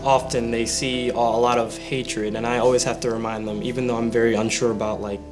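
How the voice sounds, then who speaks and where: A young man shares a reflective personal account. His voice keeps an even, flat melody. Guitar music plays clearly behind him. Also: mid-range voice, a little fast.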